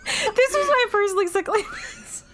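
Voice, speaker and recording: A woman with a low voice co-hosting a podcast, chatting casually, laughing easily and poking fun at herself. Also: huge pitch swings, unhurried, a loud crowd. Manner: laughs easily, self-deprecating. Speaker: podcast co-host. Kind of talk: casual chat.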